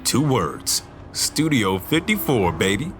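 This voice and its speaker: A man's voice: lowish voice, sing-song, slow, a bit bright, soft background music, exaggerated voice. A young man acting in an audio drama as a casual, level-headed fraternity brother who is restless to move on. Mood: playful. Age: young adult.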